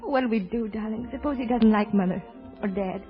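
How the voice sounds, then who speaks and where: A woman's voice: a little high, normal speed, lightly acted. An actress playing an emotional, adoring woman in a sentimental vintage radio play, with melodramatic delivery. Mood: dreamy, anxious. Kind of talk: 1930s-40s radio drama acting. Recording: muffled old radio, music behind.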